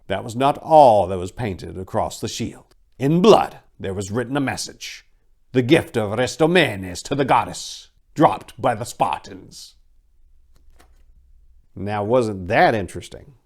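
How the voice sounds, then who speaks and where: A man's voice: fairly low pitch, darkish tone. Theatrical man with widely swinging intonation. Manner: theatrical, emphatic. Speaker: narrator and host. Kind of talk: dramatic story reading.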